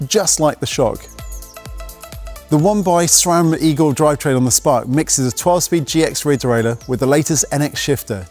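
Man giving a brisk bike review. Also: medium pitch, a little mellow. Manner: brisk, technical, matter-of-fact. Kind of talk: scripted review narration. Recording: faint music underneath.

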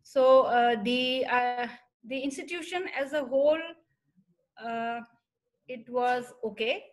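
Measured middle-aged woman on a video call. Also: high-pitched. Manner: measured, plain, uses fillers. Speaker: panelist, professor. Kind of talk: answering a question on a video call. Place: room indoors. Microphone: laptop webcam on video call.

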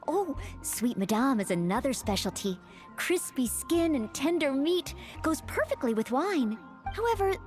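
Earnest, high-pitched young maid knight. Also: female voice. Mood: polite, hospitable. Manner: formal, courteous, helpful. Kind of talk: anime game character dialogue.

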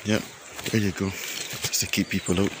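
A man's voice: low-pitched, flat delivery, normal speed. Rambling man narrating on a windy walk. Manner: rambling, filler-heavy, thinks aloud. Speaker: nature vlogger. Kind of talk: casual walking narration. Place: outdoors on a windy coast and scrub. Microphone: phone camera held by the speaker. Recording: loud wind and outdoor noise.

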